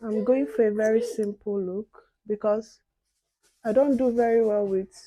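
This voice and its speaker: A soft-spoken young woman chatting casually to viewers while doing her makeup. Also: fairly high pitch, unhurried, no room sound, lo-fi sound. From outside the UK. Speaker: beauty and advice vlogger.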